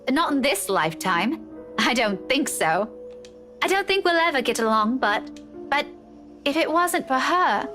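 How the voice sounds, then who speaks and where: A high-voiced young woman in a visual novel drama, earnest and halting. She speaks slowly and sincerely, with music playing underneath. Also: sharp tone. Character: earnest young woman confessing love to childhood friend.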